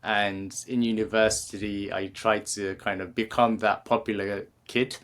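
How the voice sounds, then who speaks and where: A man's voice: fairly low pitch, level tone, unhurried, fairly bright tone. A man in his thirties with a British accent, a guest in a casual interview who talks with many fillers and restarts. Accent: British English. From England. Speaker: guest, creativity coach.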